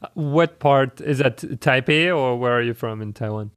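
A man's voice: medium pitch, normal speed, clean tone. A man hosting a casual interview, friendly and rambling, often restarting his words. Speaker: podcast host.

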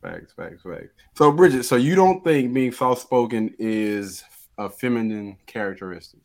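A man hosting a casual group discussion, relaxed and quick to laugh as he puts questions to his guests. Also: mid-range voice, creaky. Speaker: host.

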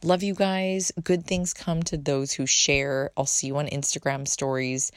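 A woman's voice: deep voice, little pitch movement, measured pace, smooth, no room sound, studio quality. A woman hosting her own podcast in a chatty, unfiltered way, with a soothing voice and casual swearing. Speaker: podcast host. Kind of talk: casual solo podcast chat.

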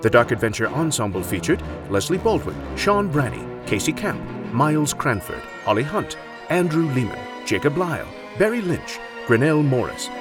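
A man's voice: mid-range voice, very animated, normal speed, music in the background. A man reading scripted credits as a radio drama announcer.

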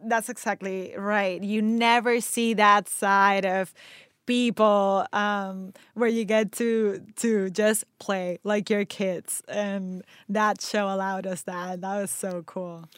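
A woman's voice: mid-range voice, takes it slowly, clear, resonant tone, no room sound, clean studio sound. A woman from Venezuela with a Venezuelan Spanish accent, a native Spanish speaker, talking animatedly in a casual interview about her acting and hosting work. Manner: animated, chatty, frequent restarts and laughter. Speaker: actor and TV host guest.

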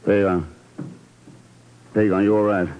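A man playing a low-voiced, unflappable radio secret agent. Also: flat delivery, measured pace, close to an everyday voice. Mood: matter-of-fact. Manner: clipped, unflappable, wry, probing. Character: cool, dry, no-nonsense male secret agent. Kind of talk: 1950s radio drama acting. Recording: dull, noisy old radio recording.

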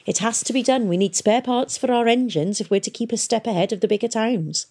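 Jolly chatty town boss guy, sorry but not really, woman reading him, a bit raised and husky. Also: a little high, fairly quick, darkish tone, voice pushed up. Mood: regretful. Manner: genial, chatty, mock-regretful. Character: cheerful apologetic male town boss.

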